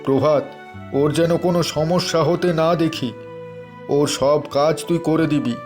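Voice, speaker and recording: A man voicing a kindly elderly village father in a cartoon dub, speaking gently and earnestly. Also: a little high, steady tone, a little slow, music in the background, close to an everyday voice. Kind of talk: cartoon dubbing.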